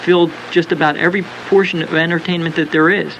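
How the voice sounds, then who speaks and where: Creaky, higher-pitched man, halting delivery. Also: fairly even intonation, lo-fi sound. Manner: halting, repeats himself. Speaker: interview guest, fan club member. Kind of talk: earnest radio interview talk. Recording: noisy home cassette of radio broadcast.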